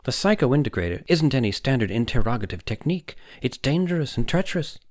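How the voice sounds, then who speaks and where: A man's voice: medium pitch, very animated, brisk, a little mellow, pitched above the performer's own voice, close to an everyday voice. Audiobook role: male reader as doubtful young doctor, troubled conscience, hesitant, raising objections. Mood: worried. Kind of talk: audiobook character dialogue.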